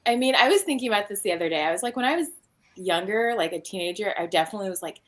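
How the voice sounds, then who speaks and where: A woman's voice: mid-range voice, lively intonation, rapid-fire. A woman in her thirties chatting casually in a joking, self-deprecating way. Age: 30s. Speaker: stream host. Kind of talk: casual group chat.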